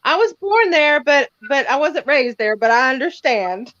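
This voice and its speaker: Bright, chatty Tennessee woman, slightly dull audio. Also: high-pitched, expressive, unhurried, no echo. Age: 40s. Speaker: livestream co-host. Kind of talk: casual chat.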